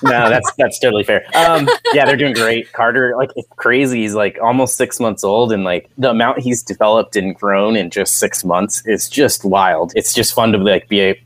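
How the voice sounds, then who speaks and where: A man's voice: on the low side, steady tone, normal speed, few pauses, fairly bright tone, a little hiss or hum. A man chatting casually as a guest on a video call, often saying "like" as he talks.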